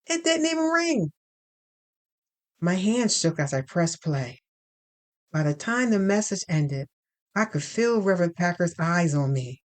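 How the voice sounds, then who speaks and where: A woman reading first-person dramatic fiction in a deliberate, expressive storytelling manner. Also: fairly low pitch. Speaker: narrator.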